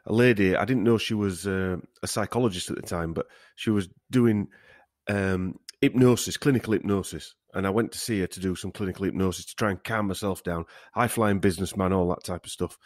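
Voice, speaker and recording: A man's voice: sits low, brisk, dry recording, studio quality. A man hosting a podcast, talking casually in a plain-spoken, agreeable way. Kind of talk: casual conversational talk.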